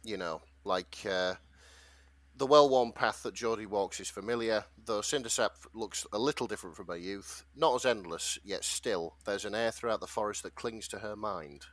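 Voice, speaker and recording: A man with a slightly low voice co-hosts a casual podcast. He retells and reads from a story in an informal, wry way, and the sound is very dry with no room to it. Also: moderate pace, a bit bright, dry recording. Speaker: podcast co-host presenting a fan fiction story. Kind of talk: casual chat, summarising and reading out a story.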